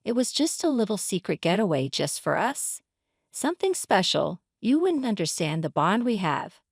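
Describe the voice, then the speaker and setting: A woman's voice: medium pitch, sing-song, moderate pace, slightly rough, dry recording, studio quality. A woman narrator voicing a smug, spiteful older mother-in-law in a story, condescending and dismissive. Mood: falsely innocent, smug. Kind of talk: dramatized story reading.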